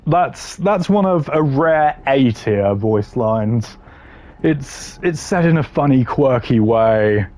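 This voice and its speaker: A man's voice: mid-range voice, lively intonation, takes it slowly, a little mellow. A man giving casual, opinionated gaming commentary in a blunt, slangy way, ranking voice lines. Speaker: gamer ranking game voice lines.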